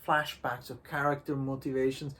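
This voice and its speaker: A man co-hosting a casual, rambling review discussion, speaking in a halting, hedging way with slightly unusual phrasing. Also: mid-range voice, flat delivery, fairly bright tone. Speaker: co-host of a visual novel review show.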